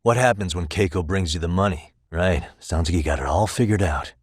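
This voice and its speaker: A man's voice: low-pitched, fairly quick, slightly rough, dry recording, clean studio sound. An American man voicing a cool, confident, wry private detective in a video game, with a casual, self-assured delivery. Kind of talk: video game dialogue acting.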